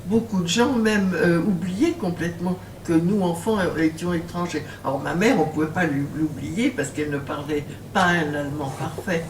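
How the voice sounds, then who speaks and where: An older woman recalls her past with halting, filler-laden speech and bursts of laughter. She is picked up from a distance in a reverberant bookshop. A faint hum of noise sits under her voice. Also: fairly low pitch. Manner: hesitant, many fillers, laughs when telling stories. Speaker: author and guest. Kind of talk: bookshop talk with audience.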